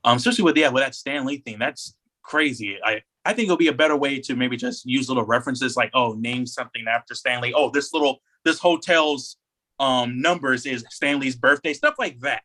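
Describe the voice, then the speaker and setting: A man co-hosting a casual podcast discussion, telling stories in an animated, slangy way and acting out quotes. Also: mid-range voice, a little fast. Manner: animated storytelling, acts out quotes in slangy speech. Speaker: podcast co-host.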